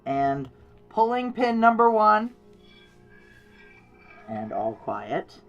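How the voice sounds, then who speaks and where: A woman's voice: mid-range voice, sing-song, extremely slow, highs cut off, soft background music. A woman acting a tough, guarded female security chief in a noir audio drama, terse, wry and confiding. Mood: focused, businesslike. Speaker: lead character and narrator.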